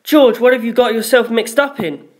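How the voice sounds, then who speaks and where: A woman voicing a haughty, protective young woman with a sharp temper and an imperious, emphatic delivery in a story reading. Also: a little high, moderate pace, voice pushed up, close to an everyday voice. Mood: alarmed.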